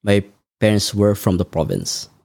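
A man from the Philippines, answering interview questions thoughtfully and with frequent pauses. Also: on the low side, big pitch swings, vocal fry, a little mellow, no echo, studio quality. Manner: measured, thoughtful, frequent ums. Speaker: podcast guest. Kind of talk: conversational interview.

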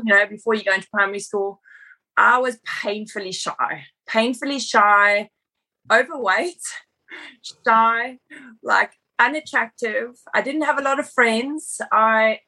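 A woman's voice: on the high side, pushed and bright, low-quality recording. A woman from South Africa, with an accent that mixes South African and Australian, chatting easily as a podcast guest and laughing at herself. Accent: mixed South African and Australian. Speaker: podcast guest, personal trainer. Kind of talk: casual interview chat.

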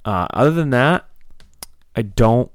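A young man co-hosting a podcast with a slightly raspy voice, telling a long party story in a rambling, animated way with lots of fillers. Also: medium pitch, measured pace, dry recording, clean studio sound. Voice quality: a little raspy. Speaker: podcast co-host. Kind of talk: casual storytelling chat.

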